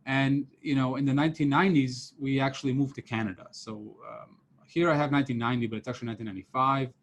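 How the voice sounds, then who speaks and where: A man's voice: mid-range voice, fairly even intonation, fast talker, a little hiss or hum. A man in his thirties from Serbia, giving a casual lecture to students over a video call with his camera off, full of fillers and restarts. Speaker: professor giving a seminar. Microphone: computer mic on a video call, camera off.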